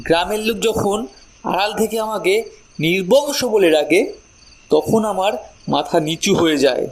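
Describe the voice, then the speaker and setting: A man's voice: high voice, moderate pace. A man acting a weak, irritable husband ashamed of being childless, in a horror audio drama. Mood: irritated, ashamed.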